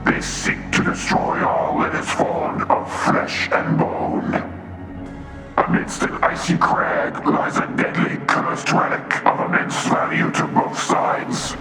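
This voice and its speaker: Guy doing grave slow fantasy intro narration, lots of echo on it. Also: a little high, completely flat delivery. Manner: grave, ominous storytelling. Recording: echoing voice under clear music. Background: music.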